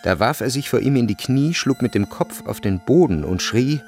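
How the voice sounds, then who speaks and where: A man's voice: mid-range voice, expressive, moderate pace, darkish tone. A man reading scripted literary narration for a radio drama. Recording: music behind the voice.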